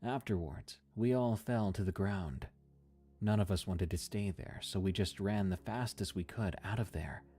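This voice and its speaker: A man reading a first-person horror story in a measured, somber scripted narration. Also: sits low, warm tone, soft background music.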